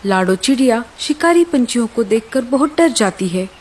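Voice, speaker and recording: A woman's voice: on the high side, expressive, normal speed, slightly rough. A woman narrating a scripted children's story.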